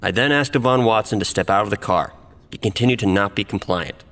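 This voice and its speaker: A man's voice: lowish voice, brisk, fairly bright tone, big-room sound, natural voice. A man playing a defensive police officer giving formal, self-justifying testimony in a courtroom audio drama.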